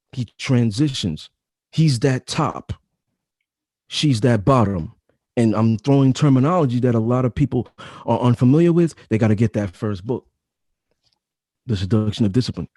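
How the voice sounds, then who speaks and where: A man with a deep voice, a guest author talking casually in an interview, emphatic and slangy, often repeating his phrases. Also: mellow, no room sound, studio quality. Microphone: home mic setup.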